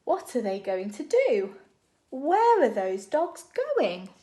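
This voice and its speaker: A woman reading a picture book aloud to young children in an expressive, deliberate storytime style. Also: a little high. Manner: expressive, animated, deliberate phrasing for small children.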